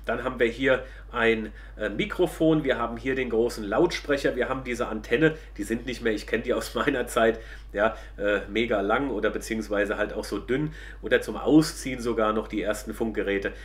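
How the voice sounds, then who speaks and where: A man's voice: medium pitch, moderate pace. A middle-aged man, casually explaining a product to viewers as he reviews it. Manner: explanatory, addresses viewers directly.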